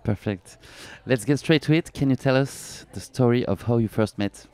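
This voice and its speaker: A man hosting a podcast interview at a pop-up store, friendly and often pausing on fillers. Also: mid-range voice, mellow, clean tone, dry recording. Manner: friendly, many filler pauses. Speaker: podcast host. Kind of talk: interview chat. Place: pop-up store at a race event.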